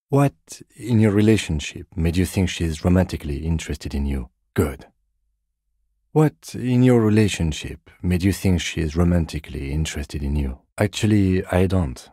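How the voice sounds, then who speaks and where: Dry police detective, very low dark voice, husky, deadpan, patient, video game dialogue. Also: male voice, no room sound. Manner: measured, restrained, deadpan.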